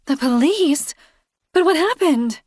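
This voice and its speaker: A woman reading a novel aloud, voicing a bubbly, flustered young woman in a high, gushing voice. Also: expressive, slow, darkish tone, no echo, clean studio sound. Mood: alarmed.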